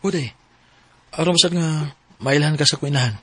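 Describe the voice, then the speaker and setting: Ardent high-pitched young Cebuano suitor. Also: male voice, moderate pace, breathy edge, dry recording. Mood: pleased, reassuring. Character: Michael, young male suitor, ardent and coaxing. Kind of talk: Cebuano radio drama acting. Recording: music bed under radio drama voices. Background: music.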